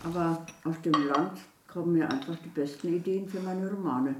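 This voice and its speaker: A woman's voice: fairly low pitch, moderate pace, reverberant, distant mic, background noise, close to an everyday voice. An actress playing a worldly older woman, a novelist and affable family friend, chatting in a radio drama. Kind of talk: German radio drama acting.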